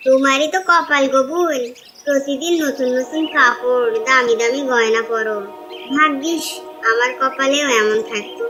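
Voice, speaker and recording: Very high, bright discontented wife played by a woman. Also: normal speed, faint music behind. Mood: resigned, envious. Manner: complaining, sarcastic. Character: discontented, envious, scolding poor fisherman's wife. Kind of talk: cartoon dialogue.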